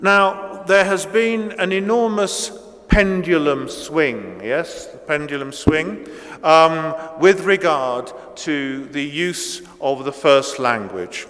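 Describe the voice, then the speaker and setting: A man's voice: fairly high pitch, slow pace, fairly bright tone. A man in his sixties, a native Italian speaker from Italy, giving an academic keynote lecture with many ums and restarts. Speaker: guest lecturer. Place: lecture hall. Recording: long reverb in a lecture hall.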